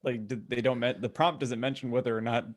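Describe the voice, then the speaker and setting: A man's voice: medium pitch, steady tone. Man chatting casually, thinking aloud. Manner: halting, thinking aloud, frequent filler "like". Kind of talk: casual chat.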